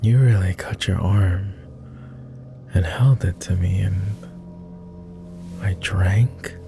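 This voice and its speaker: A man playing a weary, courtly vampire lord in an ASMR roleplay, murmuring formally and hesitantly with many pauses, close to the microphone. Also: sits low, steady tone, vocal fry, over music, exaggerated voice. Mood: puzzled.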